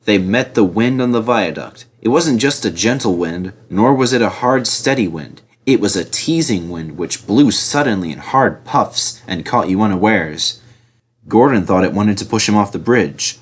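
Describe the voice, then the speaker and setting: A man reads a children's story aloud as narrator. His voice sits fairly low with a husky edge, and his delivery is expressive, with very lively intonation. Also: moderate pace.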